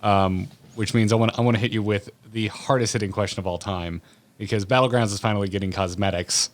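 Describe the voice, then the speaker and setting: Low-voiced man hosting a casual podcast. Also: steady tone, fast. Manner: playful mock-serious questioning. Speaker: podcast host. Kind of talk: casual interview questioning.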